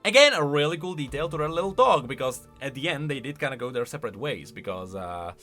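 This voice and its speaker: A man's voice: mid-range voice, animated, fast talker, a bit bright, faint music behind. A man giving chatty, informal, opinionated reaction commentary on video game lore.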